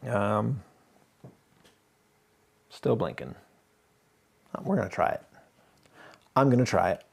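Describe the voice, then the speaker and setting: A man's voice: fairly low pitch, lively intonation, slow, gravelly, husky. A man hosting a casual live stream, thinking aloud as he works and chatting easily with viewers. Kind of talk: casual live stream chat while working.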